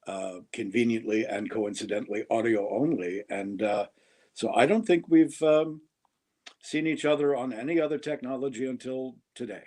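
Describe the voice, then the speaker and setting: A man's voice: medium pitch, normal speed, darkish tone. A man who does voice-over work, joking around as a guest on a casual talk show. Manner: playful, joking. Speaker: voice actor guest. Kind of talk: casual chat.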